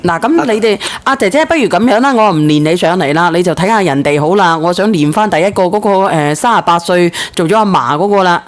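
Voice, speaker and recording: A woman's voice: lowish voice, lively intonation, fast talker, hardly any pauses. A woman with a Hong Kong accent hosting a call-in chat, blunt and pressing in her questions, with coarse slang. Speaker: call-in show host.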